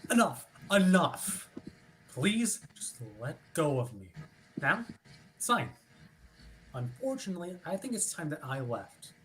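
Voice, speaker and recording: Low-voiced woman playing a curt, formal authority figure. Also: sing-song, unhurried, pauses often, a bit bright. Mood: irritated. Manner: clipped, stiffly polite, dismissive. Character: curt, formal authority figure named Watch, impatient and evasive. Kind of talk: staged reading of a play.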